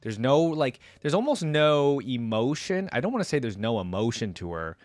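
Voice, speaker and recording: A man's voice: mid-range voice, big pitch swings, normal speed, darkish tone, dry recording. A man giving casual, slangy and enthusiastic reaction commentary as a host. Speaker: host reacting to game voice lines.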